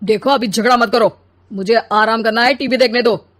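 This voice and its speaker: A woman's voice: a little high, fairly even intonation, normal speed, close to an everyday voice. A woman voicing a grumpy, lazy, self-important husband and father who complains and makes demands in a story. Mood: grumbling.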